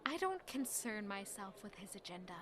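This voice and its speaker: A woman's voice: mid-range voice, sing-song, normal speed, fairly bright tone. An actress playing a stubborn, guarded young servant woman in a castle audio drama, curt and sarcastic beneath formal politeness. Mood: defensive, curt. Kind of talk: audio drama acting with first-person narration.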